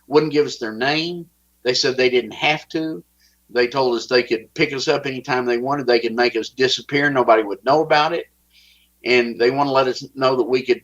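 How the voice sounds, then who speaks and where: A man with a Texas accent, giving halting interview testimony and often repeating words as he starts a sentence. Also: medium pitch, a bit bright. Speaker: guest. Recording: dull lo-fi audio.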